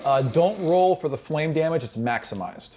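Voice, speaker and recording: A man's voice: fairly high pitch, animated, moderate pace, darkish tone. A man running a tabletop game as game master, shifting between dramatic description and casual asides. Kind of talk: improvised narration of a tabletop game. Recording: music bed behind the voice.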